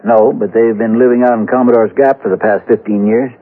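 A man's voice: medium pitch, fairly even intonation, brisk, natural voice. An actor playing a sober, troubled western lawman in a 1970s radio drama, speaking in a plain, probing way. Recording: muffled vintage radio broadcast recording.

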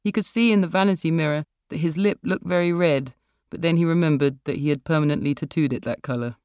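A woman's voice: sits low, fast, darkish tone, no room sound. A woman reads literary fiction as its author, in narration style. The recording is very dry, with almost no room in it. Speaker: author reading own story. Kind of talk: literary short-story narration.

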